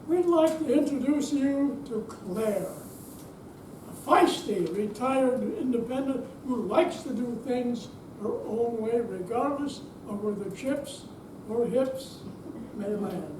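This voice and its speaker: A woman's voice: fairly high pitch, slow pace, darkish tone. A woman narrating a scripted readers theater piece as she introduces the characters. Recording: echoey room, distant mic, faint noise.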